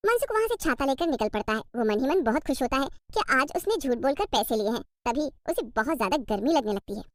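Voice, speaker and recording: A woman's voice: high-pitched, sing-song, quick pace, no room sound, clean studio sound. A woman reading scripted narration for a cartoon story.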